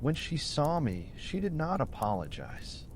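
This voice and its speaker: A man's voice: on the high side, huge pitch swings, unhurried, slight background noise, voice pushed up, heavily acted. A man voicing a wronged old man who tells his tale formally and earnestly in a bedtime storybook. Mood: earnest, wounded.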